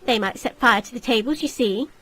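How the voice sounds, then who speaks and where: Woman putting on a shrill, haughty society lady. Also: a little fast, fairly bright tone, dry recording, soft background music. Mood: indignant, whining. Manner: melodramatic, snobbish complaining. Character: indignant haughty society lady, affronted and wailing.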